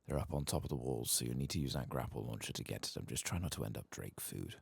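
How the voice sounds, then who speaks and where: A man giving soft-spoken ASMR commentary on a game, sometimes dropping into a whisper. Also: extremely low pitch, expressive, quick pace, vocal fry, husky, no room sound. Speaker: gaming ASMR streamer. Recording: faint keyboard and clicking noise.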